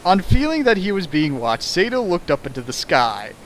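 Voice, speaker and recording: A man's voice: high-pitched, big pitch swings, normal speed, poor audio. A man doing a mocking dramatic reading of fan fiction with sarcastic, hammy asides, recorded at home with hiss.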